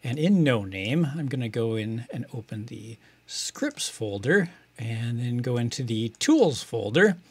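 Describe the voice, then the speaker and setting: A man's voice: medium pitch, big pitch swings, unhurried, slightly rough, dry recording. A middle-aged man with an American accent hosting a casual tutorial, explaining things step by step in a conversational way. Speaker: video tutorial host.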